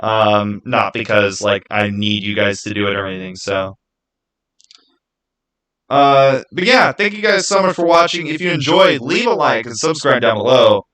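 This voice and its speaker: A man chatting casually to viewers as a livestream host, earnest and rambling, with halting starts and repeated phrases. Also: mid-range voice, expressive, a bit bright, poor audio. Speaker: streamer, channel host.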